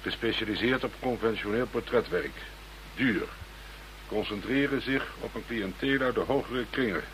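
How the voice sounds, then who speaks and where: An actor playing a brisk, wry senior police investigator in a radio play, authoritative and matter-of-fact. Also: male voice, fairly low pitch, fairly bright tone, a little hiss or hum, natural voice, poor audio. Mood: businesslike.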